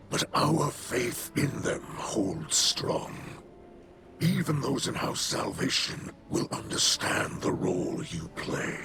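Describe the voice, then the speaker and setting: An actor playing a weary, noble old alien leader in a video game, with a whispery rasp and formal, oratorical speech. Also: male voice, on the low side. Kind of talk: dramatic video game cutscene acting. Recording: loud music under the voice.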